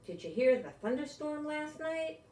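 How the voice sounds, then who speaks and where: Friendly, polite sea otters from a picture book, performed by a woman reader. They talk eagerly and a little haltingly, like best pals. The room is echoey, the mic slightly far off. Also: sits high, very animated, darkish tone, pitched above the performer's own voice. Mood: excited. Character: excitable, friendly sea otter pair finishing each other's thoughts.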